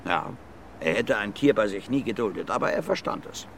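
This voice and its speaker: An actor playing a gruff, blunt violent brawler in his fifties under questioning in a radio drama, plain-spoken and repetitive. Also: on the low side, lively intonation, a little fast, slight background noise. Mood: defensive, earnest. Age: 50s. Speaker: suspect being questioned.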